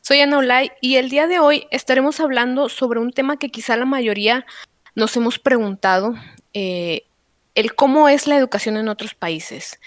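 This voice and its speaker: A woman's voice: sits high, normal speed, no echo, studio quality. A woman with a Mexican accent hosting a panel podcast in a semi-formal, somewhat hesitant way with frequent filler words. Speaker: podcast host.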